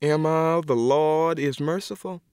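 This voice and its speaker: A man's voice: mid-range voice, lively intonation, moderate pace, no room sound, studio quality, voice pushed up, lightly acted. A man reading a story voices a firm, elderly aunt who insists on being obeyed. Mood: comforting.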